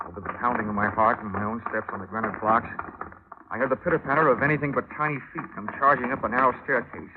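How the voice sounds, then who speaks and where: A man's voice: medium pitch, steady tone, fast talker, noisy background, close to an everyday voice. Man acting wry hardboiled radio detective, vintage sound. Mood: tense wry narration. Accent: American. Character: wisecracking hardboiled male insurance investigator narrating his case. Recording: dull vintage radio recording with loud music.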